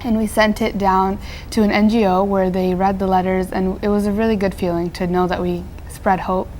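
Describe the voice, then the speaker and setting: A woman's voice: mid-range voice, level tone, moderate pace, darkish tone, low-quality recording. Teenage girl, nonprofit founder, earnest, filler-heavy answers, faint background hiss. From Nepal. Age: teens. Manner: earnest, many fillers and restarts. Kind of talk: interview answers.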